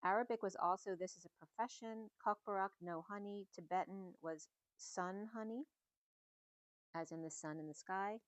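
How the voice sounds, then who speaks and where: A middle-aged woman giving a casual, meandering spiritual reading at home, in a soft and musing way. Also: mid-range voice, normal speed, no echo. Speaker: spiritual reader. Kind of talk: casual channeled message reading.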